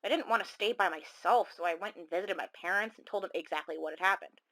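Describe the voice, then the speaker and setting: A woman's voice: on the low side, fast, bright, forceful tone, poor audio. A woman playing a shaken caller who recounts a monster encounter in a dramatic, confiding first-person radio horror story. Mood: haunted. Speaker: caller telling her story.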